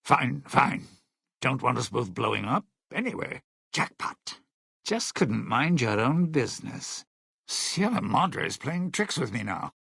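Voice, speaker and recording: Smug old lounge singer crook from a video game, gravelly and sardonic, pitch swings around a lot. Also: male voice, sing-song, slow pace, fairly bright tone, no room sound, studio quality, heavily acted. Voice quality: raspy, gravelly. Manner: sardonic, slangy showman's patter. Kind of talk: in-game character dialogue and epilogue narration.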